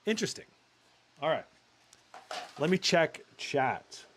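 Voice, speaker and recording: Chatty, loud-voiced tech reviewer man. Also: a little high, very animated, slow pace, slight background noise. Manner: chatty, many fillers, talks through numbers on screen. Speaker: tech reviewer hosting a livestream. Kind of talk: casual live benchmark commentary.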